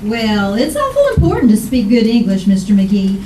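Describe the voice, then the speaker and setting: A woman's voice: medium pitch, huge pitch swings, moderate pace, mellow. A woman playing a good-natured, dim-witted household helper who rambles earnestly and literally in a 1940s-style radio comedy. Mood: rambling, amused. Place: theater stage before an audience. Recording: echoey live stage, distant mic, noise.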